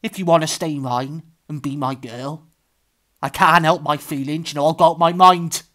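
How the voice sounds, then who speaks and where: A man's voice: fairly high pitch, steady tone, moderate pace, a bit bright, lightly acted. A man performing a dramatic monologue as a possessive, jealous boyfriend, confrontational and intense. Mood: angry, pleading.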